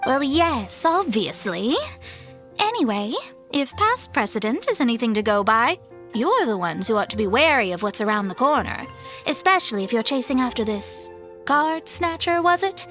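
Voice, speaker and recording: A woman voicing a proud young female astrologer with a self-important, formal, precise manner in an animated game. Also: a little high, moderate pace, soft background music. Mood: smug, cautioning.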